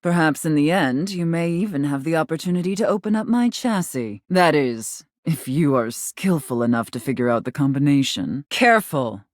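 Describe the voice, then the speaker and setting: A woman's voice: low-pitched, animated, normal speed, few pauses, no echo, clean studio sound. A woman voicing a haughty, domineering mistress character in a video game, imperious and scornful, savoring key words. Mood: haughty, menacing. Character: haughty, domineering female safe with a dominatrix persona.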